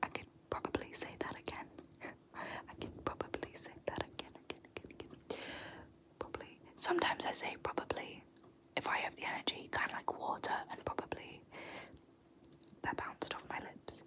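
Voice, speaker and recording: A young British woman whispering softly in an ASMR video, repeating words slowly with small laughs. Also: rough recording. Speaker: ASMR creator. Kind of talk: whispered ASMR word pronunciation.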